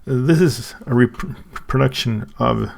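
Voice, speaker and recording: Swedish guy unboxing stuff, super gravelly voice, lots of stops and restarts. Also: medium pitch. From Sweden. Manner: halting, thinking aloud with many restarts. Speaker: channel host. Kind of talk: casual unboxing review.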